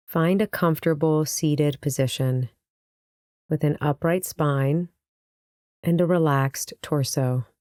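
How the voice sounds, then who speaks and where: A woman leading a guided meditation as a teacher, speaking in a gentle, soothing, unhurried manner. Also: low-pitched, no room sound, clean studio sound.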